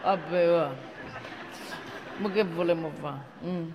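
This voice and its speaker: An actor playing a gruff, earthy night guard with a Roman accent in a rambling comic stage monologue. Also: male voice, a little high, fairly even intonation, slow, a crowd in the background. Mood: indignant. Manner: colloquial, rambling, rhetorical questions. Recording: echoey hall, noisy stage recording.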